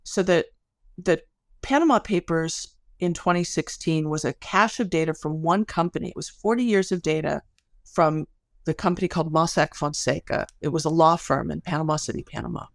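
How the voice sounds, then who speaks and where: A woman's voice: low-pitched, normal speed, vocal fry, no room sound, clean studio sound. A middle-aged woman speaking as an academic interview guest, explaining things in a thoughtful, careful way and often correcting herself. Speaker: podcast guest, academic researcher.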